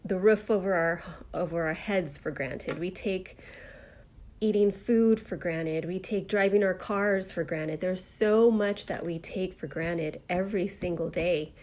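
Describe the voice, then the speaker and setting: A woman with a slightly nasal voice from a cold, hosting a live online talk show and speaking in a gentle, heartfelt way with many fillers. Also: fairly low pitch, a little slow. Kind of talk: casual live-stream monologue.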